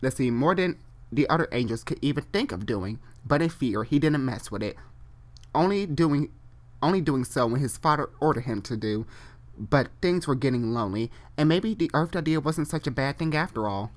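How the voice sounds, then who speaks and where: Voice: medium pitch, expressive, moderate pace. A person doing a comedic dramatic reading of amateur online fiction, theatrical and unfiltered, breaking off into casual asides and re-reading stumbled phrases. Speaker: reader and host.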